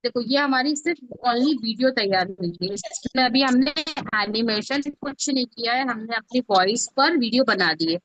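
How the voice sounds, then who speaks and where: A woman's voice: fairly high pitch, lively intonation, normal speed, a bit bright, a little hiss or hum. A woman instructor giving an informal step-by-step tutorial, often checking that listeners are following along. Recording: dull, lo-fi, dry close audio.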